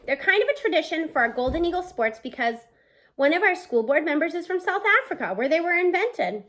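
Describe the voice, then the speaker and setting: A woman in her thirties narrates a children's book. Her voice is high and very lively in pitch, with an expressive storytelling delivery, picked up by a device at arm's length indoors. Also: brisk. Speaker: read-aloud narrator. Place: small room at home, indoors.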